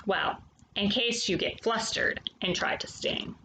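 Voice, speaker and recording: A woman reading a children's nonfiction story aloud, addressing young listeners directly with questions and pauses. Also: mid-range voice, vocal fry, noisy background.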